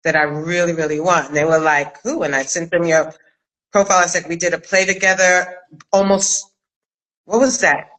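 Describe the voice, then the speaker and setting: A middle-aged woman hosting a casual chat interview, thinking aloud with hesitant pauses. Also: lowish voice, fairly even intonation, fairly bright tone, low-quality recording. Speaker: interview host and director.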